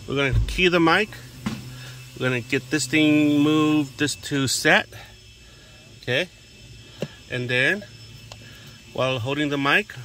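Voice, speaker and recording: Slow-talking man with a bright voice. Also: mid-range voice, a little hiss or hum. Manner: plainspoken, talks in short halting steps while working. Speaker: DIY radio hobbyist demonstrator. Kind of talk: hands-on how-to narration, casual. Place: in and beside a parked vehicle outdoors. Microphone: handheld phone, one-handed filming.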